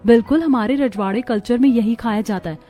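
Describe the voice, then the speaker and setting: A woman's voice: fairly high pitch, brisk, warm tone, soft background music, lightly acted. A woman voicing a cheerful, supportive young sister-in-law in a cartoon family story. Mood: encouraging.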